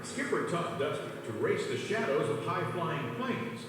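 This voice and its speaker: Lively man narrating a children's storybook. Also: a little high, unhurried. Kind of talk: scripted children's read-along narration. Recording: echoey, distant, long reverb, music behind.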